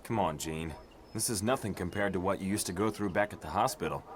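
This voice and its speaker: A man playing a calm, supportive superhero teammate in a motion comic. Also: low-pitched, a little fast, slight background noise, natural voice. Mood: concerned.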